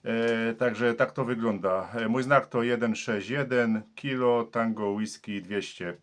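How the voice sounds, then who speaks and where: A man from Poland working a CB radio contact, using formal call signs and procedure in a friendly, steady way. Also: medium pitch, a little slow. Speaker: CB radio operator.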